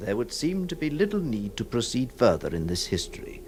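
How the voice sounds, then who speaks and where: A man playing a troubled, compassionate lawyer who narrates in solemn, halting, literary phrases for a radio drama. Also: mid-range voice, very animated, a little mellow, a little hiss or hum. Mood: reflective. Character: troubled, compassionate male lawyer recounting a sad history.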